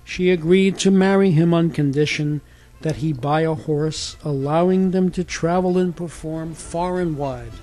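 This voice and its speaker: A man's voice: on the high side, measured pace, mellow, soft background music, rough recording. A man reading a scripted fairy tale as a storyteller.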